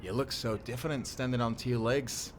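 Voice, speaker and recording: A man playing a kindly old seafaring grandfather in an audio drama, speaking calmly and reassuringly. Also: mid-range voice, normal speed, slight background noise, natural voice. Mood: warm. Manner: calm, reassuring, folksy. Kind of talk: cinematic audio roleplay acting.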